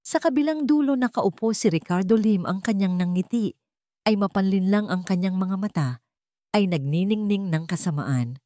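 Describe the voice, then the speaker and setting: A woman with a Filipino accent narrating a scripted dramatic story in a measured, formal way. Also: mid-range voice, animated, warm tone, no echo, clean studio sound.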